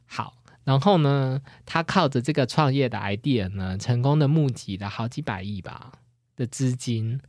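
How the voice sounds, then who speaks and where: A podcast host with a Taiwanese Mandarin accent, retelling stories in an animated, joking, casual way. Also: medium pitch, normal speed, dry recording, clean studio sound. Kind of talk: casual chat and storytelling.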